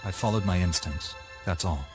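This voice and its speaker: A man's voice: deep voice, normal speed, lightly acted. An actor playing a young male Jedi padawan in a video game, with a blunt, dry delivery. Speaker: player character. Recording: game cutscene with music behind.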